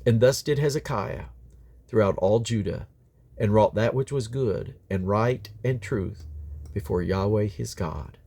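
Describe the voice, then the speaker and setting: A man's voice: medium pitch, creaky, darkish tone. Man reading scripture aloud. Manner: halting over difficult names, stumbles and self-corrects. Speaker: Bible reader. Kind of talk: scripture reading aloud from the King James Bible.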